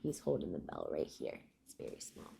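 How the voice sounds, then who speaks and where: A woman hosting a children's storybook read-aloud, speaking in deliberate phrases and explaining things to young listeners. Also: rumbling low, sing-song, creaky, warm tone, a little hiss or hum.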